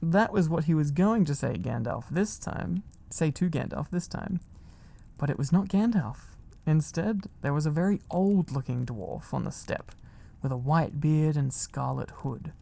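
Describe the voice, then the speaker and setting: A man reading a storybook aloud in a gentle, cosy, unhurried ASMR style. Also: on the high side, creaky. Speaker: ASMR narrator.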